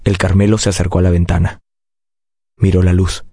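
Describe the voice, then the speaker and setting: An actor narrating a radio drama as a grown man recounting his childhood, in a measured, solemn and tender way. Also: deep voice, little pitch movement, background noise, lightly acted. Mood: solemn, wistful.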